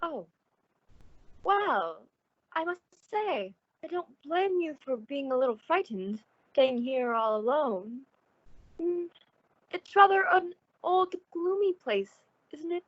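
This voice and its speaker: A woman's voice: sits high, expressive, slow, dry recording, lo-fi sound. A woman playing a genteel, uneasy, concerned friend in an old-time radio suspense drama, speaking politely and hesitantly with theatrical expression.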